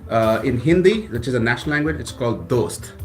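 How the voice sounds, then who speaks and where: A man's voice: mid-range voice, expressive, a little fast, soft background music. A man from South India whose first language is Kannada, a college president talking earnestly and gratefully in a casual radio interview, often restarting his phrases. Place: radio studio.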